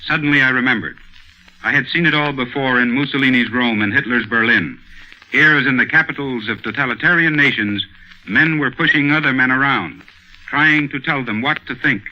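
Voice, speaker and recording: Guy doing forties radio commentary, average pitch, dull old recording. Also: fairly quick. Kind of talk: scripted 1940s radio commentary. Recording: muffled 1940s radio recording.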